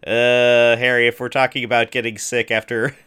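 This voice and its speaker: A man co-hosting a podcast, teasing his partner in a wry tone and laughing often. His voice is bright and forward at a mid pitch. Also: completely flat delivery, moderate pace, clear, resonant tone. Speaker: podcast co-host. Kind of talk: casual chat.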